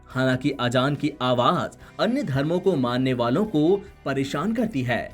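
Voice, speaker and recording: A man narrating a scripted news voice-over in a formal, measured manner. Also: fairly high pitch. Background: music bed.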